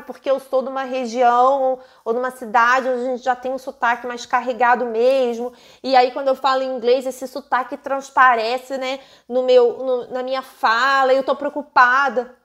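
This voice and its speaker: Whiny worried brazilian student girl, high voice, put on by a woman. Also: little pitch movement, moderate pace, few pauses, bright, forceful tone. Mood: fretful. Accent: Brazilian Portuguese. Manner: whiny and fretful. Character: anxious young female student fretting over accent.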